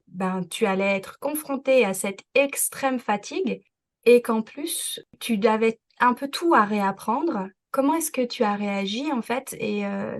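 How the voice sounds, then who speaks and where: A woman podcast host interviewing a guest with gentle, reflective questions. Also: fairly high pitch. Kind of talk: interviewing conversation.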